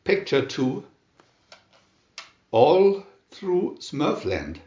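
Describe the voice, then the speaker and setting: A man narrates a children's storybook with great deliberation, taking his time over each phrase. His intonation rises and falls in a lively, expressive way. The recording is slightly muffled and sounds like a reverberant room. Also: fairly high pitch, very slow. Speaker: storybook reader.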